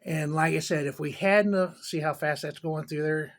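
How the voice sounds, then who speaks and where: A man's voice: a little high, fairly even intonation, moderate pace. A man giving a casual hands-on tech tutorial in a folksy, rambling way with informal grammar. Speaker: tutorial presenter.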